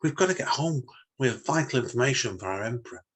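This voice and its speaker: A man's voice: mid-range voice, animated, quick pace, breathy edge, voice pushed up. A man voicing a little green alien, polite, stranded and pleading, in a storytelling podcast. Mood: pleading, earnest.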